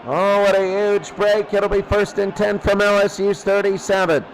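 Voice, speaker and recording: A man's voice: high-pitched, level tone, moderate pace, a little hiss or hum, poor audio. A man with an American accent calling football play by play, exclaiming on big plays. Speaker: play-by-play commentator. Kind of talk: live American football commentary.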